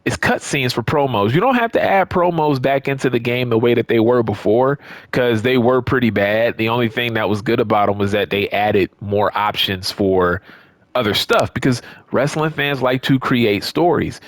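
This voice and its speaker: A man's voice: medium pitch, normal speed, no echo. A man giving casual, off-the-cuff commentary on a video game, emphatic and repeating words for stress. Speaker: gaming video creator.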